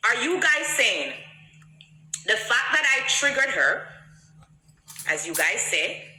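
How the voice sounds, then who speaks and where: A woman's voice: on the high side, very animated, takes it slowly, bright, forceful tone, roomy sound, low-quality recording. A woman from Jamaica with a Jamaican accent, speaking straight to a phone camera and pressing her critics with emphatic rhetorical questions. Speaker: artist answering critics. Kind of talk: direct-to-camera monologue.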